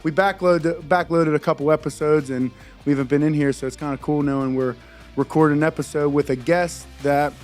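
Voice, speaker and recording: A young man from the Pittsburgh area hosting a podcast, chatty and upbeat, quick to laugh. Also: fairly high pitch, fairly even intonation, darkish tone, faint music behind. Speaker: podcast host. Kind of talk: casual podcast chat.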